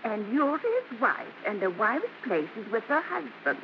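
An actress playing a bossy, warm-hearted old grandmother who scolds in folksy phrases, in old-time radio acting style. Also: a little high, big pitch swings, measured pace. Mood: exasperated, bossy. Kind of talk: 1940s-50s radio acting. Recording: muffled, noisy old radio recording.

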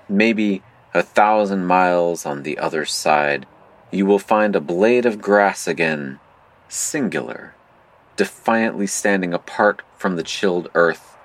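A man playing a mysterious, impassive game operator who narrates a surreal audio drama in a formal, deadpan manner. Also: deep voice, exaggerated voice. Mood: ominous, poetic.

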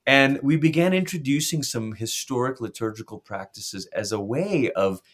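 A man's voice: mid-range voice, expressive, normal speed. A middle-aged pastor from Malaysia, speaking earnestly and reflectively in a conversational interview, often restarting his phrases. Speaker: pastor and author.

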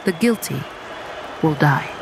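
A man narrates a radio drama from a script, setting the scene. His pitch is a little high and noisy ambience surrounds the voice. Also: lively intonation, slow pace, over a noisy crowd.

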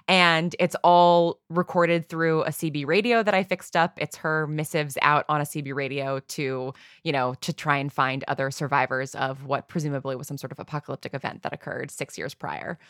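A woman speaks as an interview guest in a lowish voice, recorded close and dry. She is friendly and enthusiastic, talking casually like a creator among colleagues. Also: a bit bright, dry recording. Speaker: podcast guest, audio drama writer and producer.

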